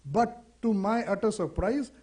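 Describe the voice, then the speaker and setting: Indian english guy, kinda high voice, chatty seminar talk, lots of you know and rhetorical questions. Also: a little slow, darkish tone. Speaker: seminar speaker. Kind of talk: conference talk.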